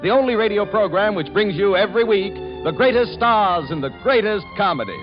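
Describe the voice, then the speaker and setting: A man's voice: sits high, lively intonation, a little fast. A man announcing a scripted 1940s radio comedy program. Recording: muffled vintage radio broadcast over loud music.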